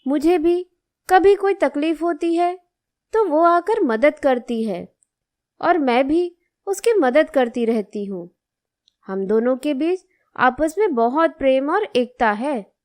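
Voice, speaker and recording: High-voiced, slow-speaking hurt schoolgirl played by a woman. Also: animated, darkish tone. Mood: earnest, fond. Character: hurt, earnest young schoolgirl daughter, dutiful and affectionate. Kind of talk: Hindi moral-story cartoon dialogue.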